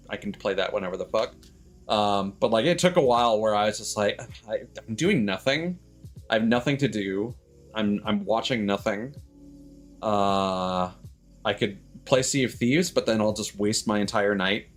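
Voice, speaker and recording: A man chatting casually as he hosts a game stream, rambling and halting, with stammers and offhand swearing. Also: mid-range voice. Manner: casually profane. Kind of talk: casual livestream chat. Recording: music playing behind the voice.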